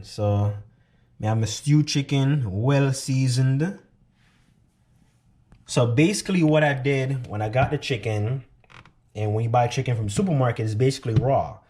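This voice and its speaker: A Jamaican-accented man chats straight to his viewers in a relaxed, storytelling way, walking them through home cooking. His voice sits in an ordinary middle pitch. The sound is picked up by a camera mic in a small room with plenty of echo. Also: slight background noise. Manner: chatty, storytelling, addresses viewers directly. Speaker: cooking vlogger. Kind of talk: casual cooking show chat. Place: small apartment living room, then home kitchen.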